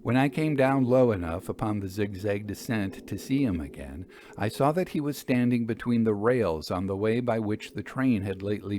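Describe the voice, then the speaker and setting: A man reading literary narration as a storyteller, with measured, deliberate phrasing. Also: on the low side, darkish tone, slight background noise. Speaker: storyteller, podcast host.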